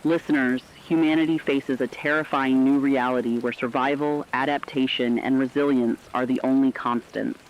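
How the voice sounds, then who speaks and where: A stern man acting as a war correspondent with a mid-pitched voice. His scripted report is solemn and emphatic. It comes through dull, lo-fi radio sound with rain behind. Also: steady tone, normal speed, hardly any pauses, slight background noise, lightly acted. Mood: grave, resolute. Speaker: news correspondent.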